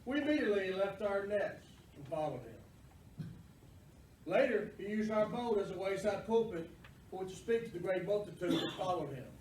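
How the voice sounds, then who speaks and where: A man's voice: sits high, expressive, moderate pace, a little mellow, slight background noise. A man playing an impassioned big fisherman apostle, humble and self-doubting, in a dramatic church stage monologue. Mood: reverent recollection. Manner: fervent, dramatic, declamatory. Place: church, live performance. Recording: very echoey church, distant mic.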